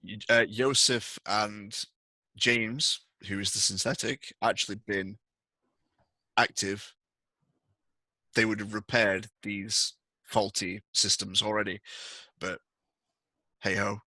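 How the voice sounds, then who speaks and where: Bright, forceful male game master. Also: on the low side, steady tone, slow pace, pauses often, dry recording. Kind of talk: improvised narration of a tabletop game.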